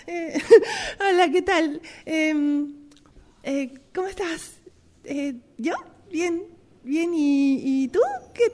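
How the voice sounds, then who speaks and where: A woman improvising a one-sided dialogue as a jittery, excited young woman, nervous and giggly, repeating her words. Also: high voice. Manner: breathlessly repetitive, giggly, nervous. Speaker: radio drama workshop participant.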